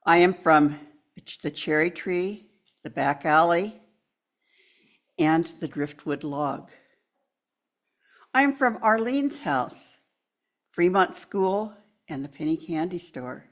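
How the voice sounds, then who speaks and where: A woman in her seventies reading her own poem aloud at a church pulpit in a warm, unhurried way. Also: on the low side, lively intonation. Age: 70s. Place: church sanctuary.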